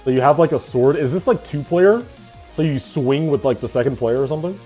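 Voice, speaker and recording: A man in his twenties chatting casually with his live-stream chat, laughing and swearing in quick asides. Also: on the high side, dark tone, faint music behind. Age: 20s.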